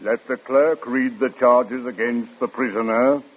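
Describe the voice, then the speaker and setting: On a narrow, phone-like vintage radio recording, a man plays a commanding Grand Inquisitor. His mid-pitched voice is measured, grave and priestly, every phrase given with authority. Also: fairly even intonation, lightly acted. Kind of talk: old-time radio drama acting.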